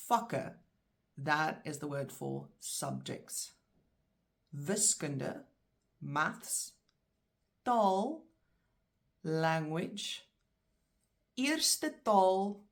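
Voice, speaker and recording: A South African woman with a low voice works through a vocabulary list. She is middle-aged, speaks Afrikaans as her first language, and says each word slowly and distinctly before translating it. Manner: slow, clear word-by-word pronunciation. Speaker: language teacher. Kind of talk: vocabulary lesson.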